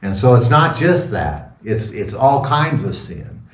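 A man in his sixties speaks as a pastor in an informal, rambling sermon style with a sarcastic edge. His medium-pitched voice is recorded at some distance from the mic, with clear room echo. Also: big pitch swings, a little slow. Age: 60s. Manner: sarcastic, rambling, chuckling asides. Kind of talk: informal sermon talk with asides. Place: small wood-paneled room indoors.

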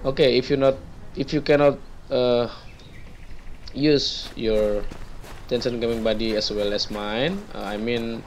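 A young man from Indonesia, not a native English speaker, talking through a computer tutorial on a headset mic in a halting way, with many fillers and repeated words. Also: mid-range voice, fairly even intonation, faint music behind. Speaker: tutorial presenter. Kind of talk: screen-recorded gaming tutorial.

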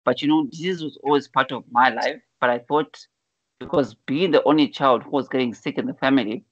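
A man's voice: medium pitch, a little fast, fairly bright tone, dry recording. A man in his forties from Zambia, a life coach telling his life story in an interview over a video call, earnestly and with many restarts. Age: 40s. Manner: earnest storyteller, repeats words when starting a thought. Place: indoor room at home. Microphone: video call, wired earphones. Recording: dull lo-fi video call audio.

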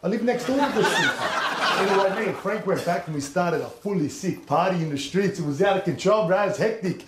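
A man's voice: high voice, animated, fairly quick, noisy background. An Australian man playing a cocky, hyped-up young suburban DJ with slangy, boastful bro-heavy talk. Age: young adult. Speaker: comedy performer on a sports show. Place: TV studio and filmed sketch locations. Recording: loud music and crowd behind voice.

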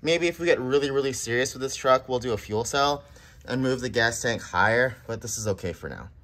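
A man in his thirties narrating a do-it-yourself truck repair, explaining each step in a relaxed, plainspoken way. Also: mid-range voice, fairly quick. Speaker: garage channel host. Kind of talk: how-to voice-over narration.

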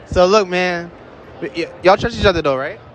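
A young man running a street interview in a shopping mall, teasing couples in slangy, quick banter. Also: high-pitched, muffled recording, a crowd in the background. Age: young adult. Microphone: handheld phone held up to mouth.

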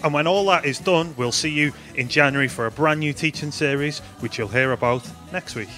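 A man's voice: on the high side, brisk, pushed and bright, faint music behind. A young man presenting church news announcements in an upbeat, playful way, speaking into a handheld mic in a studio. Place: studio in front of a green screen.

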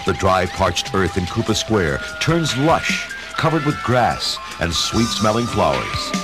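A man narrating an adventure story in a measured, dramatic scripted style. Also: lowish voice, sing-song. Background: dramatic music.

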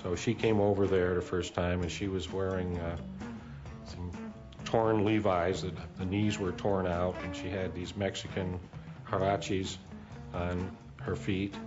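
A man recalling details in a slow, halting way in a documentary interview. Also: low-pitched, little pitch movement, muffled recording, music in the background.

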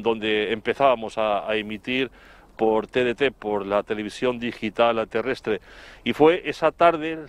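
A middle-aged man with an accent from Spain, reporting live outdoors in a wordy, halting way, repeating words as he recalls things. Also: mid-range voice, clear, resonant tone. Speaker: television reporter. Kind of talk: live report, spoken recollection. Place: outdoors in front of an office building. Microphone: handheld microphone, through a face mask.

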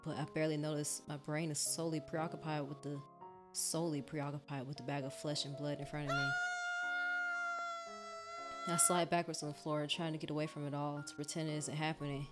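A woman voicing the terrified, guilt-ridden victim of a stalker, narrating a visual novel in a fearful, halting way. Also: low voice, flat delivery, music in the background, lightly acted. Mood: horrified.

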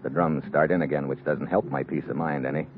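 An actor playing a tough, wary American secret agent in an old-time radio adventure, terse and hard-boiled. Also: male voice, extremely low pitch, steady tone, brisk, crowd noise. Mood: tense narration. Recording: muffled vintage radio recording with music.

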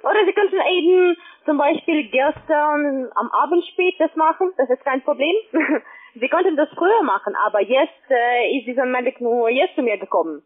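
Female phone sales agent, non-native speaker, high pitch, halting but pushy, thin telephone sound. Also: lively intonation. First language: not German. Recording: narrow tinny phone line.

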